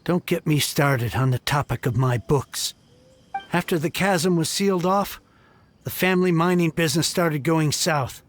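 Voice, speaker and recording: A man's voice: fairly high pitch, normal speed, natural voice. An actor playing an impoverished, theatrical middle-aged book collector who laments his lost books. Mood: lamenting. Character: impoverished middle-aged bookish shopkeeper, dramatic.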